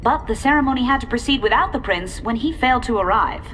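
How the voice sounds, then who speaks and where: A polished American female anchor on a futuristic game newscast. Her voice sits fairly high, with crisp, formal phrasing and earnest delivery. Music plays behind her. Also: expressive, quick pace, fairly bright tone. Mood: newsy, composed. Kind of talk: scripted news broadcast delivery.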